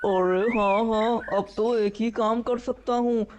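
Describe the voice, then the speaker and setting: Man voicing a greedy lazy cartoon crow, high-pitched, sly, exclamatory, repetitive self-talk, muffled noisy recording. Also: steady tone, measured pace, darkish tone. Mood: whining hunger. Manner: exclamatory, self-talking, repeats words. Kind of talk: children's cartoon story dialogue.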